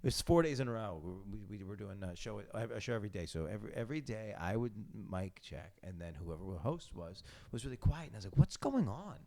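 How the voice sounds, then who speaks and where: A young man hosting a podcast in casual chat, loud and eager, often restarting his sentences. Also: fairly low pitch, very animated, quick pace, gravelly, hardly any pauses. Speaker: podcast host.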